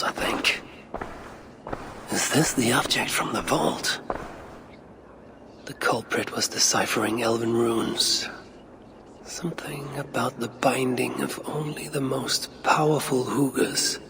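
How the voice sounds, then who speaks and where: An actor playing a grim, commanding Norse god warrior in a video game, with a gravelly, breathy voice and a measured, brooding manner. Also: male voice, medium pitch. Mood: musing. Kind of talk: dramatic game voice acting, brooding narration. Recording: heavy ambient game noise behind.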